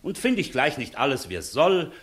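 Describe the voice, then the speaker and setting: An actor playing a courteous, authoritative visiting court inspector in a 1960s German radio play, speaking in a formal, measured manner. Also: male voice, a little high, very animated, fairly bright tone. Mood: courteous, official.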